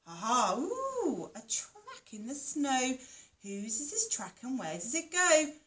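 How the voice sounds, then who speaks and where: A woman's voice: high voice, takes it slowly, full character voice. A woman voicing a small, curious and brave young girl monster in a children's storybook. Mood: excited. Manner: excited, wide-eyed.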